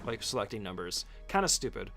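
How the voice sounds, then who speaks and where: A man's voice: lowish voice, very animated, a little fast, gravelly, a bit bright, faint music behind. A man doing casual, conversational speedrun tutorial commentary, explaining each step in an informal way.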